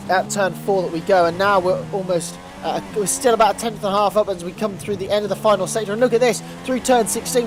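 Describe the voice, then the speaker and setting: A young man with a blocked-up nose, hosting a gaming channel and giving eager racing game commentary. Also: high voice. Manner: eager, rising to excitement on fast laps.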